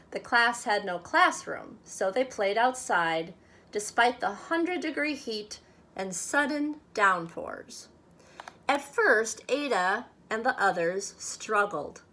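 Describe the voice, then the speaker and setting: A woman reading a children's picture book aloud expressively, with measured, dramatic phrasing meant for young listeners. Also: fairly high pitch, sharp tone. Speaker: storybook reader.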